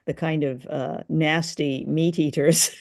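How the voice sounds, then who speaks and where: A woman's voice: low-pitched, steady tone, takes it slowly, darkish tone, dry recording, clean studio sound. A woman co-hosting a discussion show, talking casually and thoughtfully with hesitant, good-humoured phrasing. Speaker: co-host of an old-time radio discussion show.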